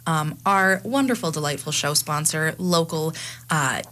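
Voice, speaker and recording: A woman's voice: low voice, a bit bright. A young woman co-hosting a radio show in a studio, chatting and announcing in an upbeat way. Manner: chatty, upbeat, quick asides with laughs. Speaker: radio co-host. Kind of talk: casual radio chat and announcing. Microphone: studio microphone.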